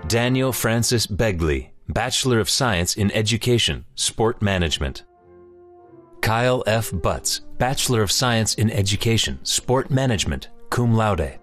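Guy reading grad names and degrees, steady formal announcer, quiet music underneath. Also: on the low side, measured pace. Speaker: name reader.

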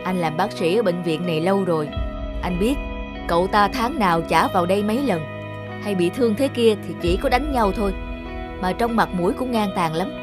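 A woman's voice: medium pitch, brisk, natural voice. Woman doing bossy protective big brother doctor, cartoon, blunt, music under. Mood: admonishing. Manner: admonishing, direct. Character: stern, protective older-brother male doctor. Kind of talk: animated cartoon dialogue.

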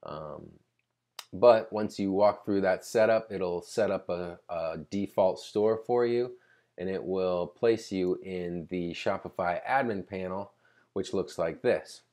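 A man giving a casual, unscripted screen-recording tutorial, explaining conversationally and pausing mid-sentence to think. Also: sits low, a little mellow. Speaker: tutorial presenter.

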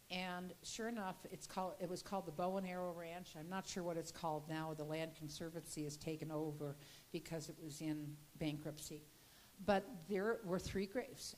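An older woman with a slightly low voice tells local history in a folksy, chatty way, often restarting her words. She speaks into a handheld mic in an indoor gallery room during a slide presentation. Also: darkish tone, a little hiss or hum, low-quality recording.

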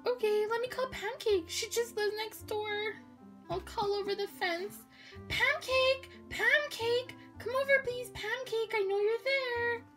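A woman voicing an excitable, dramatic young girl in a children's pretend-play story, whiny and gasping as she repeats herself. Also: very high-pitched, a little slow, soft background music. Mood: urgent.

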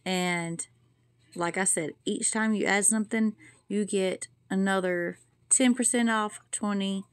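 A woman's voice: mid-range voice, steady tone, unhurried, smooth, dry recording. Chatty woman vlogging at home. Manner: chatty, folksy, addresses viewers as y'all. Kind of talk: casual vlog and product review. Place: home kitchen and living room.

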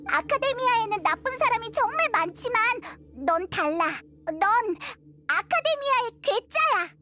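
A woman voicing a small, childlike fairy companion in an anime game, curious, excitable and earnest, with expressive, naive delivery. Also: measured pace, music in the background, exaggerated voice. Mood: admiring. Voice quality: squeaky, childlike.

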